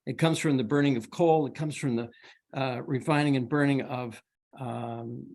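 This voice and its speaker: A man's voice: medium pitch, fairly even intonation, normal speed, vocal fry, darkish tone. A man in the audience posing a long, reflective question, building it up with a list of examples. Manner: thoughtful, reflective, listing examples. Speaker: audience member asking a question.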